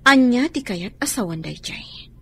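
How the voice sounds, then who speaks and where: An actress playing a defiant wife in a radio drama, bitter and firm, with an Ilocano accent. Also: female voice, a little high, animated, unhurried, vocal fry, a bit bright, breathy edge, heavily acted. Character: Elena, a defiant wife leaving her marriage.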